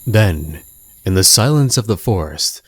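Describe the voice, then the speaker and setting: Low-voiced man narrating a story. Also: expressive, noisy background. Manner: measured, dramatic.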